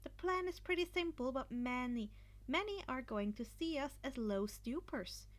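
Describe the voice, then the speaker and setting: A woman's voice: sits high, animated, normal speed. A woman voicing an earnest, upbeat teenage boy who plans carefully, in an audiobook of fan fiction. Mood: businesslike. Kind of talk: acted fan fiction dialogue.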